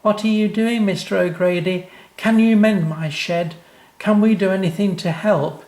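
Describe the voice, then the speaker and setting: A man voicing an eager, excitable young boy in a children's story. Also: high-pitched, moderate pace, roomy sound, voice pushed up. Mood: eager, curious.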